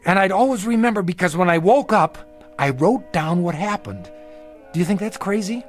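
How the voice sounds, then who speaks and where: Troubled, higher-voiced dreamer. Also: male voice, lively intonation, normal speed, soft background music. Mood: earnest, insistent. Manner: intense, hesitant, brooding. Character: troubled, earnest man haunted by vivid dreams. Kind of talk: radio drama acting, confessional narration.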